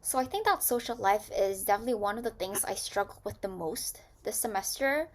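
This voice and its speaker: A woman's voice: mid-range voice, brisk. A young woman, a student, speaking sincerely about her experiences over a video call. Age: young adult. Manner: sincere, reflective. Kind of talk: personal talk.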